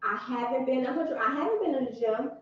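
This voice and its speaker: A woman's voice: high voice, animated, quick pace. A woman in her late thirties co-hosting a podcast, talking candidly in casual chat and laughing easily. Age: 30s. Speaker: podcast co-host. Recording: echoey room, distant mic, dull sound.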